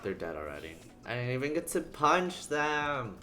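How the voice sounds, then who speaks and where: A woman's voice: extremely low pitch, sing-song, moderate pace, music in the background. A woman streaming a video game with casual commentary, muttering playfully half to herself as she plays. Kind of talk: casual live gameplay commentary. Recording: noisy room, clattering keyboard and typing. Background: keyboard clicks and typing.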